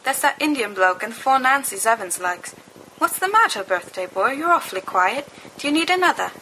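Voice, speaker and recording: Stoned teenage schoolboys voiced by a woman, raised pitch, excitable slangy rambling, audiobook dialogue, noisy home recording. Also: normal speed. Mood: stoned excitement.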